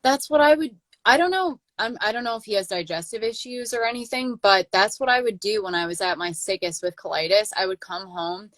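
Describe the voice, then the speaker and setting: A woman's voice: mid-range voice, fairly quick, few pauses, fairly bright tone, no room sound. A woman in her late twenties hosting a casual livestream chat, talking loosely with many fillers and restarts. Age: 20s. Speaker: channel host.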